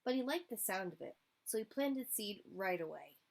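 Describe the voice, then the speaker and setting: A woman's voice: medium pitch, expressive, normal speed, gravelly, a little mellow. A woman storyteller reading a picture book aloud to young children, expressively, addressing her listeners directly.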